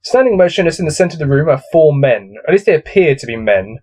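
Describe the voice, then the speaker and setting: A man's voice: fairly high pitch, big pitch swings, fast talker, darkish tone. Man reading a gamebook with casual asides. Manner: self-deprecating, musing aloud. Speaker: gamebook let's-play host.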